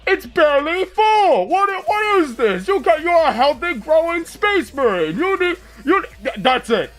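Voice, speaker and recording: A man's voice: very high-pitched, big pitch swings, unhurried, a bit bright, voice pushed up, full character voice. A man voicing a fussy, doting guardian angel who frets and nags like a parent. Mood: scandalised. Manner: anxious, fretful, exclaiming.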